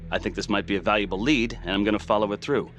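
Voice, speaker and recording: A man's voice: lowish voice, very fast, fairly bright tone, natural voice. A man playing a blunt, commanding police chief in a crime thriller game. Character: blunt male police chief briefing his squad. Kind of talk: cinematic crime game acting. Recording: dramatic music behind the voice. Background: music.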